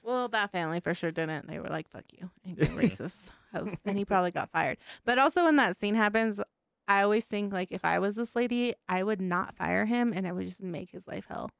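Gravelly-voiced woman, wry podcast co-host. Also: medium pitch, fairly quick, fairly bright tone, no room sound, clean studio sound. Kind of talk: casual chat.

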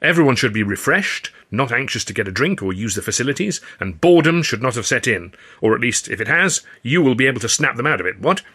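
A man's voice: mid-range voice, big pitch swings, quick pace, pushed and bright, breathy edge, exaggerated voice. A man voicing a prosperous, confident male theatrical agent in an audiobook, with a hearty manner. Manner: hearty, self-assured.

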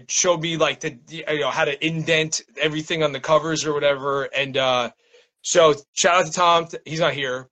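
Bright-voiced man, rambling casual talker. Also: a little high. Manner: rambling, self-interrupting, frequent "like". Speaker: interview guest, poet and small-press publisher. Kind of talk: casual interview chat.

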